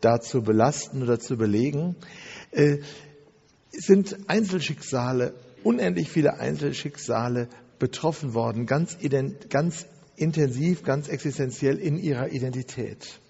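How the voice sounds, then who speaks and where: A man giving a spoken lecture, measured and methodical, stacking phrases one after another with an occasional filler. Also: mid-range voice, creaky, mellow, slightly rough. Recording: dull recording in a long-reverb hall.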